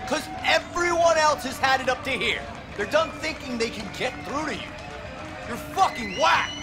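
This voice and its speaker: An actor playing a fed-up rock musician in a video game, shouting sarcastic, confrontational lines at a bandmate. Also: male voice, very high voice, lively intonation, moderate pace. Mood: fed-up anger. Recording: loud music and crowd behind.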